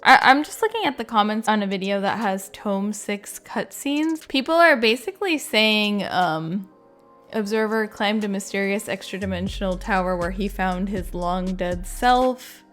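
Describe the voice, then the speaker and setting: A woman's voice: mid-range voice, lively intonation, normal speed, fairly bright tone, faint music behind. A woman giving chatty, informal commentary as a video creator, saying "like" often. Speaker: video game content creator. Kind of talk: casual commentary ranking game cutscenes.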